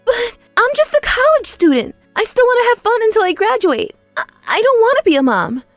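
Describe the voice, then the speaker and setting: Bratty cutesy young woman, very high and sing-song, as a woman narrator puts her on. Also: sing-song, a little fast, a bit bright, no room sound, faint music behind, full character voice.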